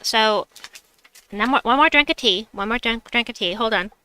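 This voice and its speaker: A woman's voice: fairly high pitch, moderate pace, pushed and bright, smooth, dry recording. A woman with a slight New York accent, streaming a game and chatting with her viewers as she plays. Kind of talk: casual live-stream chat while gaming.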